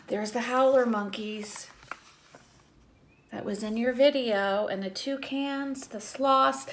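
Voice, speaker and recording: A woman reading a children's picture book aloud like a teacher, with expressive delivery and short explanatory asides to young listeners. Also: fairly high pitch, slow, slight background noise.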